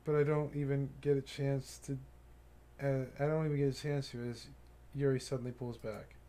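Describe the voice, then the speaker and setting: A man streaming a visual novel, reading its lines aloud with stumbles and quick reactions. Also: mid-range voice, level tone, warm tone. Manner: reads haltingly with stumbles and reactions. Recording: faint game music behind.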